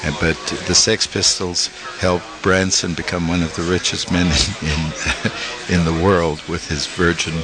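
An older man from Italy, a small press publisher, being interviewed and speaking haltingly with many fillers and restarts. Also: sits low, flat delivery, poor audio. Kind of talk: interview conversation.

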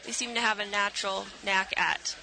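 A woman's voice: medium pitch, fairly even intonation, normal speed, sharp tone, slight background noise, low-quality recording. A woman in her thirties, an artist and professor, talking thoughtfully with many ums as a radio guest. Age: 30s.

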